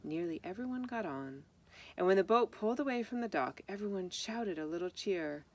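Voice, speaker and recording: A woman's voice: medium pitch, lively intonation, normal speed, darkish tone. A woman reading a children's picture book aloud, explaining things simply and speaking directly to young listeners. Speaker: storybook reader.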